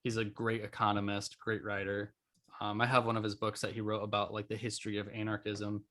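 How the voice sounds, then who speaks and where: A man's voice: lowish voice, monotone, brisk, bright, forceful tone. A man hosting a podcast, talking in a casual, loosely phrased conversational way. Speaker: podcast host.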